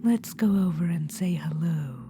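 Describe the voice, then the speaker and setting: A woman's voice: fairly low pitch, moderate pace, lightly acted. A woman voicing a scheming undead witch-queen in a whispered audio roleplay, confiding and fond. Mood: amused. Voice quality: soft whisper. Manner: confiding, fond, slyly amused. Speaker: ASMR roleplay performer. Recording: music underneath. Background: background music.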